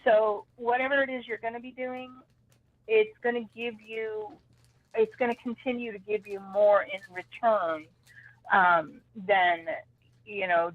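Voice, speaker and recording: A woman's voice: medium pitch, no echo. A woman giving a psychic reading on a live call-in show, hedging with "I feel like" over and over. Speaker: psychic reader. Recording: tinny phone line.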